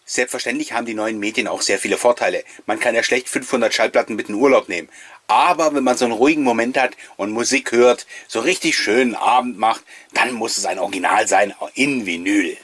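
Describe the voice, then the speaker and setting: A man's voice: medium pitch, a little fast, a bit bright, slightly rough, dry recording. A middle-aged man giving a casual, nostalgic voice-over commentary, reminiscing with wistful enthusiasm as the host. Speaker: host of a collectors' video channel.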